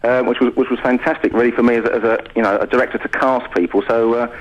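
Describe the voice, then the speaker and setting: British male drama director, rapid effusive interview talk, mid-range voice on slightly dull radio audio. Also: medium pitch, fairly even intonation. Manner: effusive, talkative. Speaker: pantomime director and drama teacher, interview guest.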